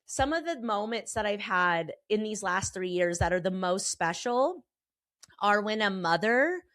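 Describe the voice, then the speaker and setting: A slightly hoarse woman in her forties, a therapist hosting her own podcast, talking in a candid, emphatic way with casual swearing. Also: medium pitch, lively intonation, measured pace, a bit bright, no echo, studio quality. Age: 40s. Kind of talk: personal podcast monologue. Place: Colorado.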